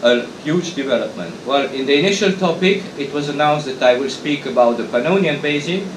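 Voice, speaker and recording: A middle-aged man gives a conference presentation, speaking haltingly with frequent restarts and fillers. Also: on the high side, animated. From Romania. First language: Hungarian. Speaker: conference speaker, association president. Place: conference hall. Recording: echoey hall with hum.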